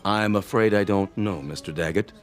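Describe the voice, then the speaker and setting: Man playing a formal, low-pitched servile waiter. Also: moderate pace, faint music behind, lightly acted. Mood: politely servile. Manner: formal, smooth-mannered, servile. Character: obsequious, formal male restaurant waiter. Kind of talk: radio drama acting.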